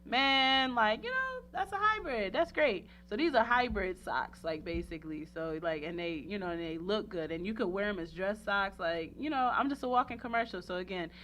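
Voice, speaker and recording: A woman's voice: medium pitch, expressive, moderate pace, hardly any pauses, a bit bright. Effusive, slangy woman host, noisy recording. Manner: effusive, slangy, rambling, frequently repeats herself. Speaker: radio show host and interviewer. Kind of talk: casual promotional interview chat.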